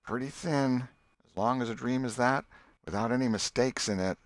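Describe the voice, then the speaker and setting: A sceptical young boy, put on by a male reader, with a raised pitch. He speaks slyly and snidely, in the manner of an audiobook character. The sound is dry. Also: moderate pace, dry recording, lightly acted. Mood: snide, doubtful.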